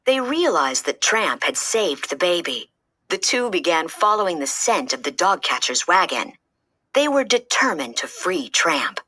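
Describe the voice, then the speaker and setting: A woman reads a children's story aloud in a lowish, bright voice. Her delivery is lively and expressive, moving freely up and down in pitch, and the recording is close and dry. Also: unhurried, slightly rough, dry recording.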